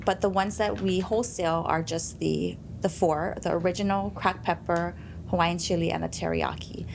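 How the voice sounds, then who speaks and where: A woman's voice: lowish voice, steady tone, normal speed, creaky. A woman business owner giving brief, plain-spoken interview answers. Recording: background noise.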